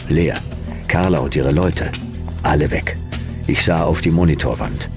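An actor playing a laconic hard-boiled detective in a noir radio drama, with a gravelly voice and terse, dry, clipped delivery. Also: male voice, very deep voice. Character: hard-boiled, laconic male detective narrating his own case. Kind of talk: first-person noir radio drama narration and dialogue.

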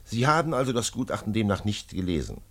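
An actor playing a calm, dogged middle-aged French police commissioner in a 1950s German radio drama, questioning in a measured, terse way. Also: male voice, lowish voice, creaky, full character voice. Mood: insistent, probing. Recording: noisy vintage 1950s radio recording.

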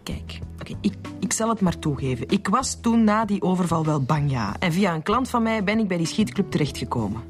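A woman's voice: fairly low pitch, very animated, a little fast, few pauses, faint music behind. An actress playing a defensive, impatient businesswoman with a Flemish accent, questioned by police in a radio drama.

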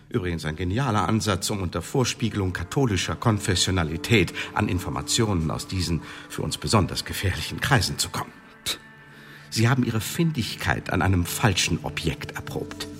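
An actor playing a cold, sardonic Elizabethan secret-service interrogator in a German radio drama, speaking in a pedantic, condescending, formal way. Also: male voice, on the low side, a bit bright. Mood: sardonic, accusing.

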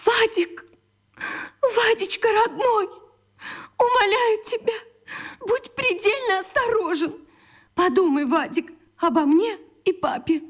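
Super high voiced frantic mom, dramatic, pleading, kids radio play. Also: female voice, slow pace, long pauses. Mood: anxious pleading. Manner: melodramatic, pleading, alarmist. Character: overprotective, panicky mother of a schoolboy. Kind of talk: children's radio play acting.

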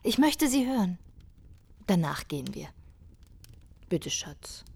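Slow, breathy, pleading wife. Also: female voice, fairly low pitch, very animated, a little mellow, exaggerated voice. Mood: pleading, hushed. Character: hushed, pleading wife of a reluctant guest. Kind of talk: German radio play acting.

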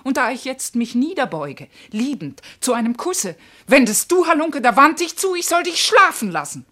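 A woman playing a sharp-tongued, scorned servant wife in a German classical verse radio drama, with emphatic theatrical delivery. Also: high-pitched, very animated, moderate pace, full character voice. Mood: scolding, aggrieved. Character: sharp-tongued, scorned servant wife, scolding yet devoted to her mistress.

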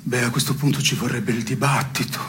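An actor playing a wry, melancholy man in an absurdist stage dialogue, speaking in a measured deadpan. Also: medium pitch, close to an everyday voice. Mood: wry, inquiring. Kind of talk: absurdist two-hander theatre dialogue. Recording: noisy radio broadcast of theatre piece.

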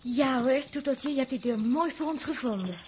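A woman with a Netherlands Dutch accent playing an emotional, chatty young space traveller in a 1960s radio drama, in an expressive, husky voice. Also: high-pitched, moderate pace, noisy background, lightly acted. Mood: relieved, shaky. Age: young adult.

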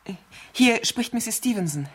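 An actress playing an anxious, imperious bedridden rich wife in a 1970s German radio thriller, urgent and impatient. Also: female voice, on the high side, lively intonation, husky. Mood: agitated, urgent. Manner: urgent, agitated, impatient, haltingly insistent.

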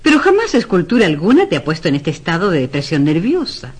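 An actress playing a concerned, gently pleading wife in a radio drama, with a coaxing, solicitous and theatrical delivery. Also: female voice, fairly low pitch, huge pitch swings, fast, slightly rough, exaggerated voice. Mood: worried, pleading. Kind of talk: old radio drama acting.

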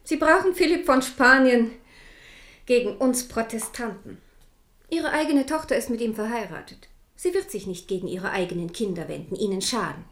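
Fervent, stern queen and mother for radio drama, played by a woman, higher-pitched voice, declamatory and devout. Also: normal speed, close to an everyday voice. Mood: bitter, insistent. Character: proud, devout Protestant queen and protective mother. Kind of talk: German radio play acting.

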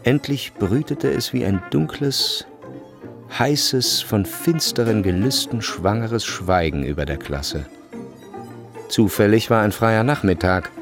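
A man reading literary narration for a radio play, with deliberate, dramatic phrasing. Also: lowish voice, animated, music in the background.

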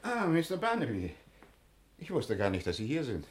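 An actor playing an evasive, indignant pharmacist under suspicion in a 1960s German radio crime drama. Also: male voice, medium pitch, wildly swooping pitch, moderate pace, slight background noise. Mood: feigned calm. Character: evasive male pharmacist, cornered and indignant suspect.

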